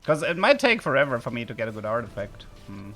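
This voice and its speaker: Man, German accent, game streamer, chatty, bright tone, animated pitch. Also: fast, soft background music. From southern Germany. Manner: chatty, with sudden excited outbursts. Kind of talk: live game commentary and chat with viewers.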